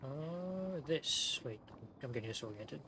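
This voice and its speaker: A man's voice: fairly high pitch, expressive, a little slow, background noise. A man streaming a video game, giving slangy, joking commentary and reading text aloud, with game music behind him. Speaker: game streamer reacting to story quest. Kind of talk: casual gaming commentary.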